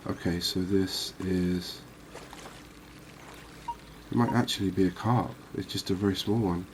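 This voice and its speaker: A man giving quiet, cozy ASMR commentary on a game, unhurried and thinking aloud. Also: low-pitched, steady tone, a little hiss or hum, rough recording. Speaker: gaming video host.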